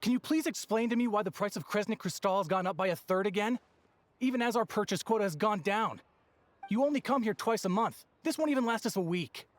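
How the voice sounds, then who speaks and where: A woman's voice: medium pitch, lively intonation, a little fast, dry recording, close to an everyday voice. A woman playing a desperate, indignant townswoman in a video game, speaking in an accusing, emphatic way. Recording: game music behind.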